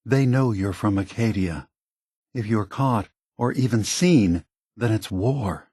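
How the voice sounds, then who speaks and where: A man voicing an ancient, gentle, weary android leader in a video game, measured, halting and earnest, with a soft, husky delivery. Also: lowish voice, no echo, studio quality. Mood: grave, explanatory. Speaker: leader of a synth refuge. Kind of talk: video game character dialogue.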